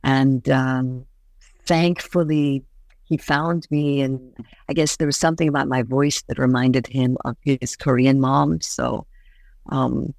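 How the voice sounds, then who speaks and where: Low gravelly-voiced actress guest. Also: female voice, steady tone, takes it slowly, darkish tone, dry recording. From Iran. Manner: thoughtful, earnest, with many fillers and restarts. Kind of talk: interview answers.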